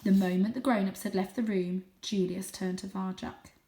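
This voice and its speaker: A woman reading a children's story aloud with expressive narration, recorded in an echoey room. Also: medium pitch, moderate pace, recorded from a distance. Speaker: storybook reader.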